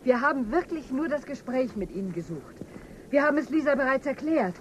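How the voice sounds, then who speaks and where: A woman's voice: sits high, expressive, brisk, dull audio, a little hiss or hum. An actress playing an earnest, reasonable envoy woman, polite and persuasive, in a German science fiction radio drama. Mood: earnest appeal.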